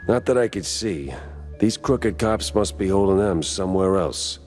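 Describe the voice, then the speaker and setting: An actor playing a weary, wisecracking hardboiled mouse detective in a noir video game, deadpan and world-weary. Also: male voice, deep voice, moderate pace, soft background music. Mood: grim, wry.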